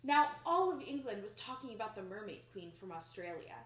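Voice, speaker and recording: A young adult woman reading a picture book aloud to children in an expressive, emphatic storytelling manner. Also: medium pitch, brisk. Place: small plain indoor room. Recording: roomy indoor echo.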